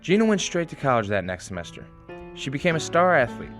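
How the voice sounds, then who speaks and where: A man's voice: fairly high pitch, sing-song, fast, fairly bright tone. A man reading scripted dramatic narration as the narrator of a radio play. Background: music.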